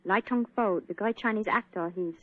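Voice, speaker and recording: A woman's voice: medium pitch, moderate pace, no echo, natural voice. An actress playing a polite, earnest young female pianist in an old radio play with a tinny sound. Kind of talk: 1940s radio acting.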